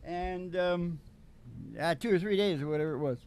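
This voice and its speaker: A man's voice: high voice, moderate pace, a little mellow. A man telling a story casually and comically as a show co-host, in a rambling, irreverent, jokey way. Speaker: singing DJ and co-host of a country comedy show.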